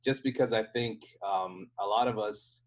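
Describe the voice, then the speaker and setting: A man's voice: lowish voice, measured pace. A man with an American accent speaking casually on an online panel, explaining conversationally, restarting sentences and checking in with "right?". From United States. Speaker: panel speaker, software engineer. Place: hotel room, online call.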